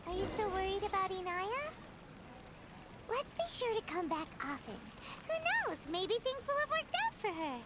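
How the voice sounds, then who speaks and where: A woman voicing a tiny, chatty, curious fairy-like girl companion in a video game, with a squeaky childlike voice. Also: very animated, moderate pace, very noisy. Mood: curious, cheerful.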